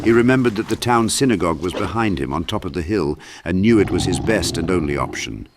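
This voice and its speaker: A man with a very low voice narrates a dark horror audiobook. His delivery is dramatic and scripted, and there is clear noise behind the voice. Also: animated, fast talker.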